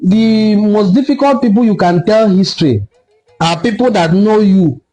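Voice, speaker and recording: A Nigerian man giving an impassioned solo commentary, lecturing forcefully and repeating points for emphasis. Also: sits high, expressive, moderate pace, soft background music, rough recording. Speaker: online cultural commentator.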